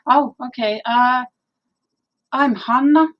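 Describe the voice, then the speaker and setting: A woman's voice: high voice, slow, muffled recording. A woman from Finland with a Finnish accent, chatting casually on a livestream with frequent "uh" fillers. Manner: hesitant, frequent "uh" fillers, light laughs. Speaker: livestream co-host.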